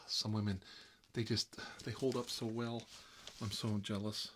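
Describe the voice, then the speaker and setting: A low-pitched male voice plays a fussy, petty babysitter in an ASMR role-play. He rambles in a gossipy, petulant way, as if chatting to someone beside him. The recording has clear background noise with small clicks. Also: flat delivery, a little slow, fairly bright tone. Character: Marvin, fussy, petty grown man stuck babysitting.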